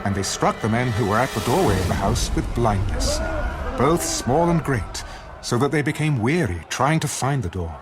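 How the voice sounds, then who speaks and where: A male narrator reads scripture as solemn audio theater. His medium-pitched voice is expressive and widely inflected, telling the story with measured gravity over a clear musical score. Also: music in the background.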